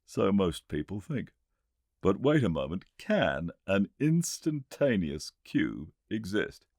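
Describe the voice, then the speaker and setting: An earnest Victorian gentleman inventor , as a male narrator plays him, lectures in a British accent with very lively, swinging intonation. He is formal and emphatic, pressing each point with relish, and the sound is dry and close, as in an audiobook. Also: deep voice, no room sound, pitched above the performer's own voice, heavily acted. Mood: insistent, lecturing. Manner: emphatic, didactic, formal, deliberately pointed phrasing. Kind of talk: audiobook character reading.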